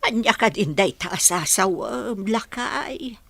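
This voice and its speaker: Slow, husky old Ilocano wife played by an actress. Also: female voice, medium pitch, expressive, a bit bright, no room sound. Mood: consoling. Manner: slow, consoling. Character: consoling, devoted old village wife. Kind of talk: Ilocano radio drama acting.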